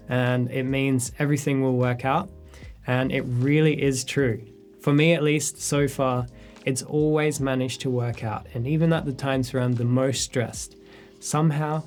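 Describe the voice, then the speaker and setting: Man, thirties, photographer, relaxed and reflective, mid-pitched voice, soft guitar music behind. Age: 30s. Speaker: photographer answering viewer questions. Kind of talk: casual sit-down Q&A. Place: his studio space, indoors.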